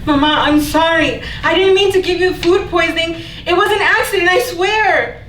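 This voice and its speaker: A woman's voice: extremely high pitch, moderate pace, fairly bright tone. A woman playing a pleading, guilt-ridden young daughter in a staged play reading. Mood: desperate pleading. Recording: echoey room, distant mic, faint noise.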